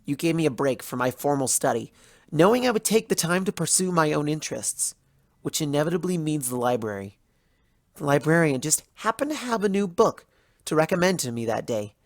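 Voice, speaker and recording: A narrator voicing a betrayed, bitter young female pony student in a measured, dramatic first-person story monologue. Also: mid-range voice, gravelly, dry recording, clean studio sound. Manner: measured, dramatic, wounded, accusatory delivery.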